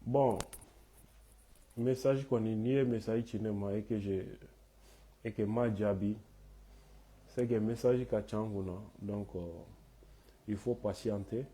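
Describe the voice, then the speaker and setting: A man, hoarse from a cold, hosting a live talk in French and Bambara with many hesitant fillers. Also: lowish voice, fairly even intonation, slow, pauses often, dark tone. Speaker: host of a live online talk.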